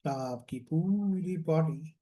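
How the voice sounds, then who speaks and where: A man leads a guided relaxation as a meditation coach, soothing and deliberate, repeating phrases and letting them trail off. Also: on the high side, dark tone.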